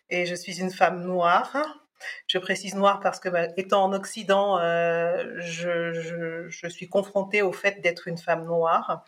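A woman's voice: on the low side, fairly bright tone. A middle-aged woman from Cameroon, a podcast guest giving a personal testimony, thoughtful and hesitant with many restarts.

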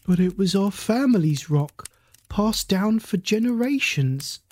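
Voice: mid-range voice, lively intonation, measured pace, a little mellow, voice pushed up. A narrator voicing a young, timid male otter cub in a bedtime story, speaking hesitantly. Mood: confused.

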